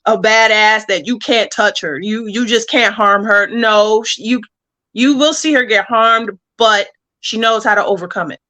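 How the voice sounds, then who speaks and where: A woman's voice: on the high side, unhurried, bright, forceful tone. A woman speaking casually as a creator being interviewed about their work.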